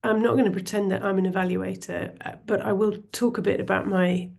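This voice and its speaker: Croaky middle-aged woman on a call. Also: medium pitch, fairly even intonation, a little fast, darkish tone. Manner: conversational with hesitant fillers. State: croaky from a cold. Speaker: panel speaker from a health and arts alliance. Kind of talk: online panel talk. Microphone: video call.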